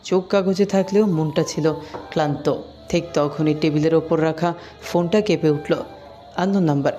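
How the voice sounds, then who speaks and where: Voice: mid-range voice, steady tone, normal speed, mellow, lo-fi sound. A narrator reading a scripted love story, with music underneath.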